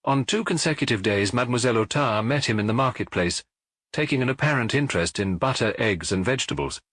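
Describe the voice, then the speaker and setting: A man voicing a brisk, suspicious provincial police inspector in an audiobook, businesslike and insistent. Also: medium pitch, animated, a bit bright, no room sound. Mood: confident, suspicious.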